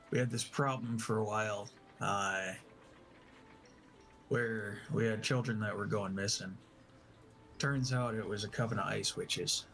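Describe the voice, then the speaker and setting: Low-voiced man, slow and halting. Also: fairly bright tone, a little hiss or hum. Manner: halting, self-correcting. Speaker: tabletop roleplay player. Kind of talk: improvised in-character storytelling at a game session.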